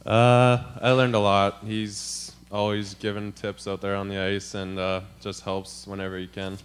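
Young man from British Columbia, very clear voice, flat delivery, casual. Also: fairly low pitch, measured pace. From British Columbia, Canada. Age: 20s. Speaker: college hockey goaltender. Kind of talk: casual interview answers.

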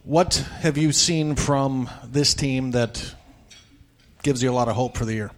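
A man hosting a live radio broadcast from a banquet event, emceeing and asking players questions in a brisk, chatty, joking way. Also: mid-range voice, a little hiss or hum. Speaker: radio play-by-play host.

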